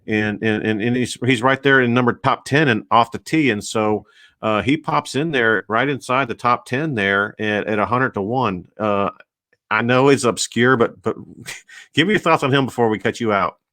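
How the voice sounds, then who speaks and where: A man hosting a casual interview, talking haltingly with lots of fillers and restarts. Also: mid-range voice, pushed and bright, no room sound, clean studio sound. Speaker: show host.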